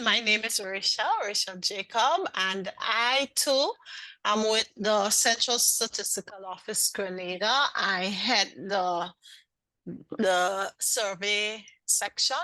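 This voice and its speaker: A woman heads a survey section and introduces herself slowly on a video call. Her tone is bright and sharp, and the sound is dry and close. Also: mid-range voice, dry recording, poor audio.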